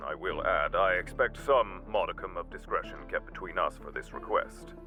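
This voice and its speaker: A man's voice: low-pitched, moderate pace, over music. A man playing a pompous, scheming, impatient mayor in an audio drama, with a wordy, condescending manner. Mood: guarded, haughty.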